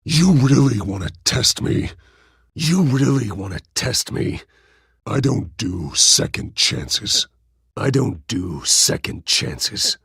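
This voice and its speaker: Very raspy, menacing interrogator. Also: male voice, mid-range voice, sing-song, slow, no room sound, studio quality, exaggerated voice. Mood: threatening. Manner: threatening, forceful. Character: menacing, furious male interrogator with short patience. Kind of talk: video game voice acting.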